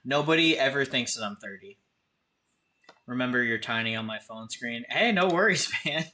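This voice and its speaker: Very bright-voiced man chatting casually. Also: medium pitch, expressive, moderate pace. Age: 30s. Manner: informal, conversational, addresses chatters directly. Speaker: live streamer, host. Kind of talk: casual livestream chat with viewers.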